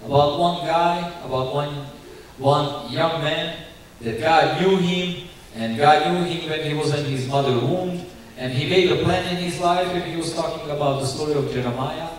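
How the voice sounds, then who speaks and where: Ukrainian guy telling his testimony at church, halting english, super echoey hall, far from the mic, bit of hiss. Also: a little high. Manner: earnest, halting non-native English with restarts. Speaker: guest speaker giving testimony. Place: church sanctuary.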